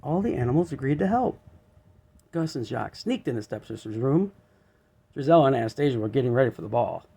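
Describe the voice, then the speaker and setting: A man's voice: medium pitch, sing-song, quick pace, vocal fry, mellow, husky. A man narrating a children's storybook aloud.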